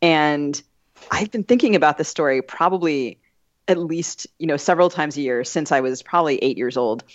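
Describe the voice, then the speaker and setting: A woman's voice: deep voice, normal speed, dry recording. Female podcast co-host, reflective conversational storyteller, close and dry, slightly dull audio. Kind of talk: casual conversational anecdote.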